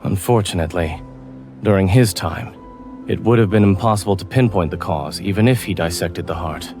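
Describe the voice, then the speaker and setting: Man acting as reserved male doctor, low pitch, calm, formal measured delivery, scholarly, game fan dub, soft music behind. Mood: calm, detached. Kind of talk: scripted fan dub acting with ASMR leanings.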